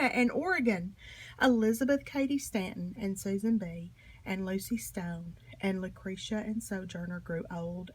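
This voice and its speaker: A woman reading a children's history book aloud in an expressive, emphatic storytelling manner. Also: a little high. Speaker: storybook reader.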